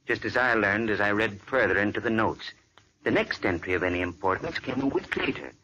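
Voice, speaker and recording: A man's voice: lowish voice, expressive, fairly quick, noisy background, natural voice. A man playing an earnest doctor. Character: earnest male doctor explaining a case. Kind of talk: 1940s radio acting. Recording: old muffled radio recording.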